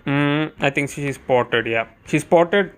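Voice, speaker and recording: A man's voice: fairly high pitch, takes it slowly, a bit bright, smooth. A man giving casual, chatty live commentary on a game as a streamer, thinking aloud as he goes.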